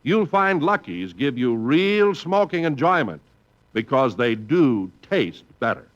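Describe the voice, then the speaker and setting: A man's voice: medium pitch, big pitch swings, a little slow. A male radio announcer reading a commercial with a hearty salesman delivery, 1950s style. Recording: muffled old radio recording.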